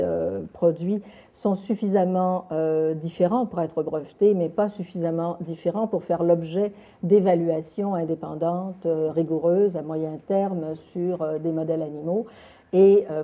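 A middle-aged woman, a documentary filmmaker answering an interview, pausing often on filler hesitations. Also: fairly low pitch, dark tone. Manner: halting, many filler hesitations.

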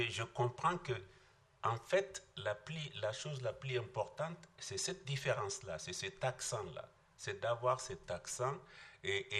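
Deep-voiced older Malian man. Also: fairly bright tone. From Mali. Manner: thoughtful, halting, with frequent restarts. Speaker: panel speaker. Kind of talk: reflective discussion.

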